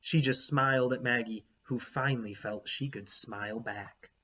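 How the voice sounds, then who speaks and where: A man reading a children's book aloud like a teacher, in an animated, emphatic storytelling way with asides to young listeners. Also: medium pitch, normal speed, highs cut off, slight background noise.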